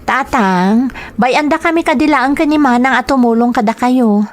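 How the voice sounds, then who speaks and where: A woman's voice: high voice, normal speed, pitched above the performer's own voice, lightly acted. A woman with a Filipino accent playing a caring, worried adult daughter in an audio drama. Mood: reassuring.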